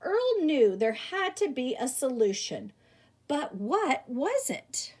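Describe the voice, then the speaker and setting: A woman reading a children's picture book aloud like a teacher, animated, with theatrical emphasis. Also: sits high, slow. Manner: animated, theatrical emphasis with exclamations and rhetorical questions. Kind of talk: expressive children's story read-aloud.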